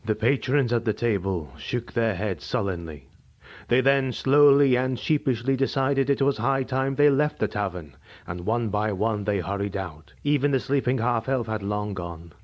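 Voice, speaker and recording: Measured man narrating an audiobook. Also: mid-range voice. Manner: measured, dramatic. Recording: dull lo-fi recording.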